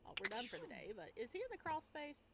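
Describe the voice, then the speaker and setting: Animated woman doing gaming commentary. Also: high-pitched, fast, slight background noise. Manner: thinking aloud, reading game text. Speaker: gaming streamer. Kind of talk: casual let's play commentary. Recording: clear game music underneath. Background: video game music.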